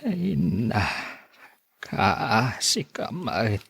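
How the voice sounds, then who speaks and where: A man playing a weary old grandfather in an Ilocano radio drama, halting and gently complaining. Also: lowish voice, big pitch swings, no echo, heavily acted. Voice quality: husky, creaky.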